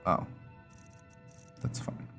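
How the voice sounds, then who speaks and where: A man giving casual roleplay gameplay commentary, thinking aloud in a halting way as he goes. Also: over loud music. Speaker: gaming channel host.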